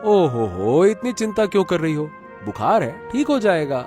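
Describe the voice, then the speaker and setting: A man's voice: high-pitched, big pitch swings, normal speed, music in the background. A man acting an evasive, dismissive working father in a Hindi cartoon moral story.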